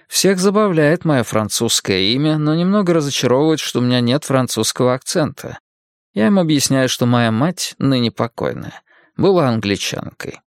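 Male narrator reading a novel, calm and a bit wry, middle pitch, dry close sound. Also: no room sound. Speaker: audiobook narrator. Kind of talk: first-person literary narration.

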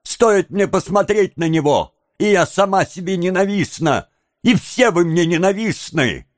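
A man's voice: expressive, moderate pace, dry recording, clean studio sound. A man voicing a bitter, defiant young woman of a thieves' gang in an audiobook, emotional and taunting. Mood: agitated, taunting. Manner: emotional, taunting, raised higher than the narration. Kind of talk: dramatised audiobook character.

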